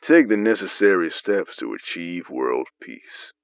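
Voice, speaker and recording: A man's voice: low voice, animated, vocal fry, smooth, exaggerated voice. Man playing a smug scheming villain, echoey sound. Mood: persuasive, scheming. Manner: smug, unhurried, theatrical. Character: smug, scheming mysterious male manipulator. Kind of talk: audio drama acting. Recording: dull lo-fi, roomy echo.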